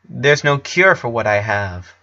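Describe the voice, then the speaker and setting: A man voicing a sick eleven-year-old boy in a story reading, weary and subdued, with halting speech. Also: medium pitch, big pitch swings, pushed and bright, highs cut off, heavily acted. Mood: grave.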